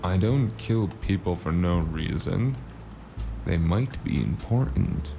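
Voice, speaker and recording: A man plays a world-weary cyberpunk gun for hire in a noir audio drama. His voice is extremely low and full of fry, dry and deadpan, with plucked guitar music behind it. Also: slow pace, exaggerated voice. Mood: weary, grumbling. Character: weary, wry, hard-boiled cyberpunk hired gun narrating his story. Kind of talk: noir first-person narration and audio drama dialogue.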